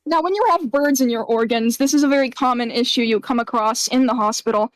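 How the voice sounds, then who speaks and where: A woman's voice: on the high side, fast talker, no echo, poor audio. A woman doing a jokey voice-over as a pretend emergency doctor, with mock-serious patter. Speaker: host voicing over a doctor clip. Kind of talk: comedic voice-over riff.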